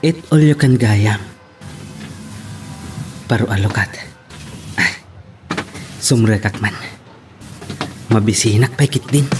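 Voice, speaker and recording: A man's voice: fairly low pitch, slow, long pauses, over music. A man with an Ilocano accent playing a chatty, easygoing customer in an audio romance drama. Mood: musing. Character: Ron, chatty, easygoing male restaurant customer. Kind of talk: Filipino radio-style romance drama acting.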